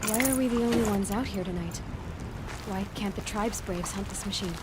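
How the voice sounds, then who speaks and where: A woman's voice: mid-range voice, brisk, very noisy, close to an everyday voice. A woman playing a defiant, confident young outcast huntress in a dramatic video game. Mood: defiant, curious. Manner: plain-spoken, resolute. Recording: game music under the voice.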